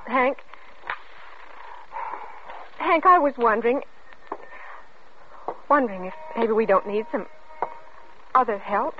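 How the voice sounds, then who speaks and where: An actress playing an anguished, pleading young pregnant wife in an old-time radio drama. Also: female voice, a little high, lively intonation, slow pace, pauses often. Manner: pleading, emotionally intense. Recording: noisy muffled vintage radio broadcast.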